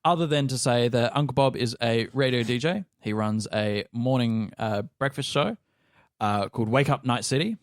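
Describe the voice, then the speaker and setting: An Australian man chatting casually as a player on a gaming podcast, halting and jokey as he describes things. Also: mid-range voice, dry recording, studio quality. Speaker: actor and player on a tabletop role-playing podcast. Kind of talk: casual chat.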